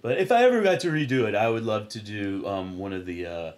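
Deep-voiced man rambling with fillers. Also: expressive, quick pace. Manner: rambling, with many fillers and trailing off. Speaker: sketch comedy performer. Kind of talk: casual interview chat.

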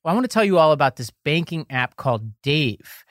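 A man's voice: a little high, very animated, fairly quick, fairly bright tone. A man co-hosting a political podcast, explaining court decisions step by step and reading ads in a casual tone. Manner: explanatory, walks through legal details step by step. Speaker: podcast co-host.

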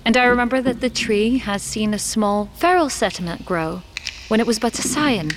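A gentle, earnest village seer, acted by a woman in a fantasy audio drama. Her voice sits fairly high and her speech is courteous and measured. Faint noise and clicks lie behind it. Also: expressive, natural voice. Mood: wistful, earnest.

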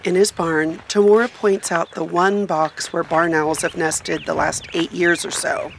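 Low-voiced woman reporter with creaky edges. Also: little pitch movement, unhurried, a little hiss or hum, poor audio. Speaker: radio reporter. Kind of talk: scripted narration, radio feature.

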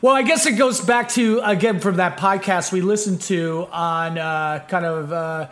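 A man's voice: sits high, a little slow, fairly bright tone. A man hosting a casual podcast chat, meandering with many fillers. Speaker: podcast host.

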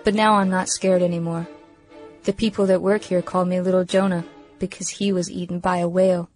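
A woman's voice: lowish voice, little pitch movement, fairly quick, over music, natural voice. A woman playing an earnest, innocent young boy reading his diary in a radio play, recovering from an attack. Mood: earnest, matter-of-fact.

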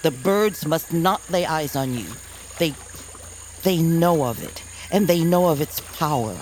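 Grave old bear grandmother, deep-toned. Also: darkish tone, slight background noise. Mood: urgent. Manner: solemn, measured, oracular. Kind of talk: audio drama acting.

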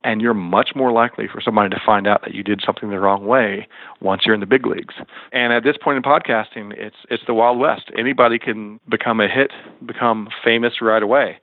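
A man's voice: lowish voice, fairly even intonation, brisk, no echo. A man speaking as an expert guest in an interview, explaining things conversationally with stop-and-start phrasing. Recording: thin phone-like line.

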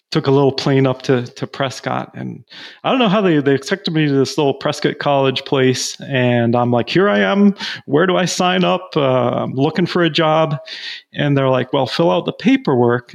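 A man's voice: medium pitch, gravelly. A man in his forties from Belize, a guest on an interview podcast, telling his life story in a chatty way with frequent laughs and restarts. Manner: chatty, laughs often, many restarts and fillers. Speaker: guest, painting and roofing contractor.

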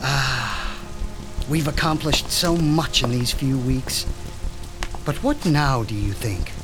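An actor playing a cultured, coldly curious mad doctor in a radio drama, fussy and chillingly detached. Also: male voice, mid-range voice, lively intonation, slow. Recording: music and rain behind.

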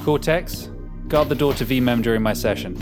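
A man's voice: mid-range voice, brisk, natural voice. Guy acting curt impatient control freak leader, audio drama, music in the background.

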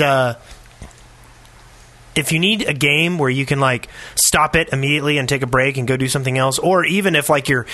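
A middle-aged man with a bright, forward voice co-hosts a casual gaming podcast. He tells his stories with animation, in loose conversational speech. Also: a little high, no echo. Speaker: podcast co-host.

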